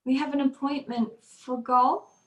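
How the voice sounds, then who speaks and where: High-voiced frightened wife, acted by a woman. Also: steady tone, unhurried, a bit bright, reverberant. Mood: hurried, nervous. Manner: breathless, pleading, faltering. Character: frightened, pleading wife in fear for her life. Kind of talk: old-time radio suspense acting.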